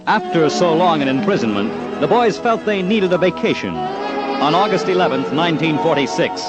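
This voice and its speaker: A man's voice: a little high, big pitch swings, normal speed. A man narrating an old-time radio crime documentary in a dramatic, punchy, emphatic scripted style. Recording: old radio with loud music.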